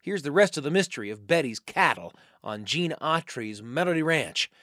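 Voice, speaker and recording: Lively, playful male host. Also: on the high side, moderate pace, no room sound, studio quality. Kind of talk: radio show narration.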